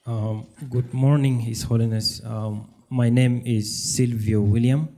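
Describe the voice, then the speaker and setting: Young man from South Sudan, calm measured personal account, deep dark voice, unhurried. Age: young adult. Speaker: youth peace leader.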